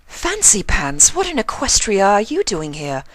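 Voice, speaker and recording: A refined storybook mare, acted out by a man, lifted well above a man's usual register. The delivery is theatrical and gracious, with formal, genteel wording and a bright edge. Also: high-pitched, wildly swooping pitch, unhurried, no room sound, pitched above the performer's own voice, heavily acted. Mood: indignant, surprised.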